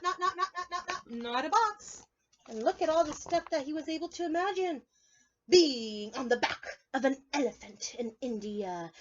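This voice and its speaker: A woman's voice: sits high, fairly bright tone, highs cut off. A woman preschool teacher reading aloud and leading a drawing lesson for young children, playful and encouraging, often asking her listeners questions.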